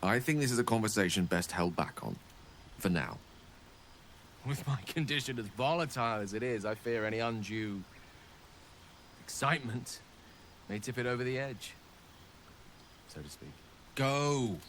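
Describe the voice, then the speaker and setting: An actor playing a wistful, self-deprecating wizard in a video game, with a refined British accent and a theatrical, wordy manner. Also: male voice, mid-range voice, moderate pace, long pauses, fairly bright tone, a little hiss or hum, lightly acted. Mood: flustered, playful. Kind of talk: video game dialogue acting.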